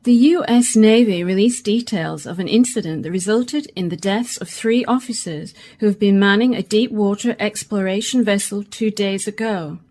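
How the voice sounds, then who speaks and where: A woman's voice: medium pitch, normal speed, hardly any pauses, a little mellow, close to an everyday voice. A woman playing a radio presenter in a science fiction radio drama. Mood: grave. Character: female live radio presenter interviewing a diver. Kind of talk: radio play acting as live broadcast.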